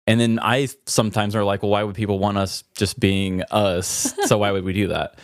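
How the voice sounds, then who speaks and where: Candid man with a lowish voice. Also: flat delivery, moderate pace, dry recording, clean studio sound. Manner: candid, introspective, wordy. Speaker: podcast co-host. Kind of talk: casual reflective podcast chat.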